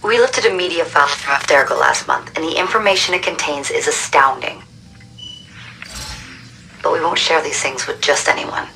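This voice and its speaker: An actress playing a confident resistance recruiter in a video game, briefing the player in a direct, persuasive, urgent way. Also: female voice, low-pitched, moderate pace, creaky, bright, forceful tone, a little hiss or hum, exaggerated voice, poor audio.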